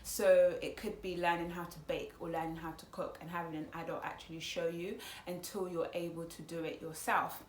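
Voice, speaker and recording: A woman's voice: lowish voice, little pitch movement, fairly quick, few pauses, reverberant, slight background noise. A young woman from Congo whose first language is Lingala, talking thoughtfully as a student vlogger, with frequent fillers and restarts. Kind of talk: casual reflective monologue.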